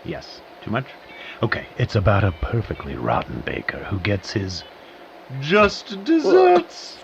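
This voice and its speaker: A man's voice: fairly low pitch, huge pitch swings, measured pace, vocal fry, background noise, full character voice. Muffled, wildly swooping mischievous man. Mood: gleeful, mischievous. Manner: playful, theatrical, mischievous. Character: gleeful male teller of nasty tales, teasing. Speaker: storyteller in a framing dialogue. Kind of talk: conversational audio drama acting.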